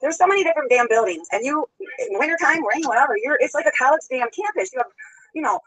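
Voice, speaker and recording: High-pitched woman livestreamer, rambling and chatty, altered-speed playback, dry sound. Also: animated, quick pace, a bit bright, dry recording, rough recording. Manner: rambling, greets viewers, laughs often. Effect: sped-altered playback. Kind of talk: casual live-stream chat.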